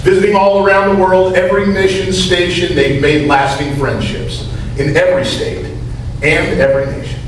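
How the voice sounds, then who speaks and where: Distant high-voiced man reciting verse. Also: flat delivery, moderate pace. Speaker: reader in a church readers theater. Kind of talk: reading rhyming poem aloud. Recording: echoey church hall from afar, faint noise.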